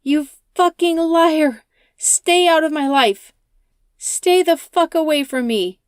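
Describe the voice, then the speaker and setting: A woman narrator as distraught woman hitchhiker, accusing, high-pitched, emotional, horror audiobook, dry close sound. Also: slow pace, no echo. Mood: enraged. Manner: shouting, tearful, accusing.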